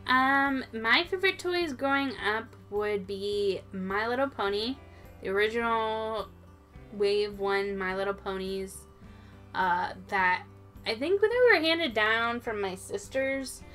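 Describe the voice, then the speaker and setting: A woman's voice: a little high, lively intonation, slow pace, a bit bright, faint music behind. A woman co-hosting a toy show in casual, informal chat, thinking aloud as she goes.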